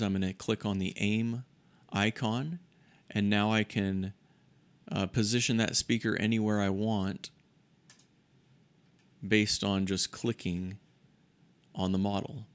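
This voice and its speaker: A man presenting a software tutorial, explaining methodically in step-by-step instructional narration. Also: lowish voice. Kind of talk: instructional screen-share narration.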